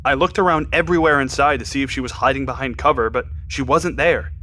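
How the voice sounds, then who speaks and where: A dutiful soldier played by a male actor in an audio drama, with a bright, forceful voice. He reports crisply and respectfully over clear vehicle and ambient noise. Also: mid-range voice, quick pace, close to an everyday voice. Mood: tense, reporting. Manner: deferential, crisp military reporting. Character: dutiful male military subordinate reporting to his captain.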